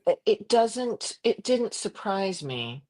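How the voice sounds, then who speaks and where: A middle-aged woman from Croatia, a journalist guest talking passionately in an interview, with frequent restarts and quick laughs. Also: rough recording. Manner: animated, impassioned, jumps to high pitch for quoted voices. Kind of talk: conversational interview.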